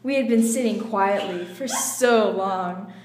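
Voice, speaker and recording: A woman's voice: mid-range voice, a little slow, a little mellow. A young woman giving a playful, giggly dramatic reading of romantic fiction. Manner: playful, often breaking into giggles. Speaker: reader in a water-spitting challenge. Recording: noisy, echoey room with clicks.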